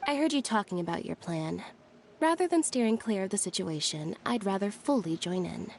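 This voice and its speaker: A cool young sister in a video game, blunt and deadpan. Her medium-pitched voice is terse, with faint background noise. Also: normal speed, creaky. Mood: coolly firm.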